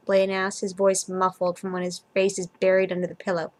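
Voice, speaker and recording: A woman's voice: medium pitch, monotone, fairly quick. A woman reading fan fiction aloud in an informal, amateur way, sometimes stumbling over the words. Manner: informal, stumbles and comments on own slips. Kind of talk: story reading with character dialogue.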